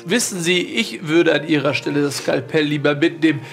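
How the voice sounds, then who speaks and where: Man playing a smug, higher-voiced young lord. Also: a little fast, vocal fry, fairly bright tone, faint music behind. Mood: smug, mocking. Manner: polite, mocking.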